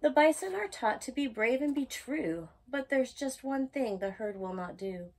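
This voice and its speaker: Woman, storytime host, high-pitched, husky edge, expressive singsong read-aloud, children's rhyming picture book. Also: normal speed.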